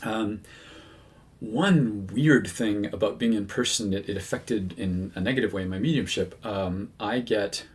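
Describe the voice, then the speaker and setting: A man's voice: fairly low pitch, unhurried. A man who works as a medium, talking casually to his viewers with many fillers and self-corrections. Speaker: medium, channel host. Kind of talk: casual talk to camera.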